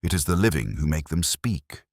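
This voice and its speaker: A man narrating a dramatic mystery story with a measured, solemn, literary delivery. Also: very deep voice, big pitch swings, no echo, studio quality. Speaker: audiobook narrator.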